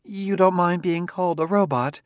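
A man performs a distraught wife in an audiobook, pitched far above his natural voice. She is tearful, fearful and guilt-ridden, pleading breathlessly, in a dry recording. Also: moderate pace, dry recording, lightly acted. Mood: tremulous. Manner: high, breathless, emotional pleading. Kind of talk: audiobook character acting.

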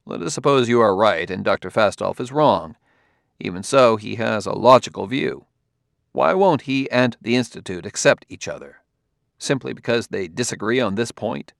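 A man voicing a patient middle-aged police detective in an audiobook, asking questions quietly and cautiously. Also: fairly low pitch, expressive, moderate pace, vocal fry, dry recording, clean studio sound. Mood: probing.

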